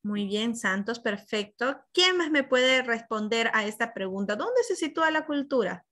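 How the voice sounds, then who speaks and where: A woman's voice: fairly high pitch, animated, normal speed, pushed and bright. A Peruvian woman teaching an online class, explaining with examples and calling on students by name over a video call. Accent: Peruvian Spanish. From Peru. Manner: asks questions and praises students by name.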